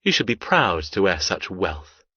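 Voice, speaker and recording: A cold, cruel, moneyed male captor from an audiobook, acted by a man. He taunts softly and very slowly in a lowish voice, with deliberate menace. The sound is dry and close to studio-like, slightly muffled. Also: big pitch swings, slightly rough, dry recording, exaggerated voice. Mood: cold taunting.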